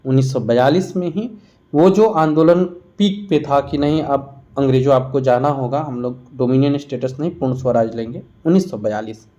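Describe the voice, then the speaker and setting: A man's voice: medium pitch, normal speed, dark tone, highs cut off. A man with an Indian accent teaching an exam revision lesson, posing questions and then answering them in an instructional way. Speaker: history teacher.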